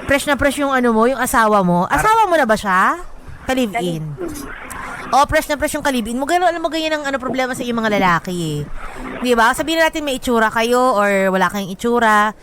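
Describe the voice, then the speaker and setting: High-voiced, brash Filipino woman radio host. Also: lively intonation, fairly quick, a bit bright, slight background noise. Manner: brash, teasing, slangy. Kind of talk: casual teasing radio banter.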